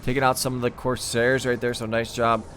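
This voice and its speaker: A man's voice: mid-range voice, flat delivery, fairly quick, a bit bright, a little hiss or hum. Easygoing man casting a strategy game live. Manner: easygoing, thinking aloud with fillers. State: losing his voice. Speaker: game caster. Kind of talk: live esports commentary.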